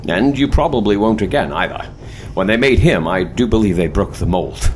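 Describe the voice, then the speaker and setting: An actor playing a suave, mysterious airship commander, formal and dryly teasing, in an audio drama. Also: male voice, fairly low pitch, lively intonation, moderate pace, a little hiss or hum, lightly acted. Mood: wry, teasing.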